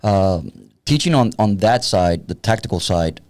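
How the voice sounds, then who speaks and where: Man in his fifties, somewhat low voice, wry and plain-spoken, podcast guest. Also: animated, unhurried, darkish tone. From San Antonio, Texas. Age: 50s. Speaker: guest, tactical police trainer. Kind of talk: casual podcast conversation.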